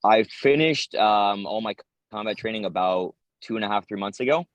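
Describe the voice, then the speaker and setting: A man's voice: on the low side, normal speed, no room sound. A man in his twenties with an American accent, answering interview questions in casual talk as a soldier. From United States. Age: 20s. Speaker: interviewee, infantry paratrooper soldier.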